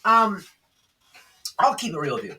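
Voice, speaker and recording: A man with a fairly high voice and a sharp, bright edge delivers off-the-cuff drama commentary. He is emphatic, circles back to repeat his points and tags them with little check-ins. The microphone picks him up from a slight distance. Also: very animated, unhurried. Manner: emphatic, repetitive, checks in with "okay?" and "right?". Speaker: YouTube commentary host.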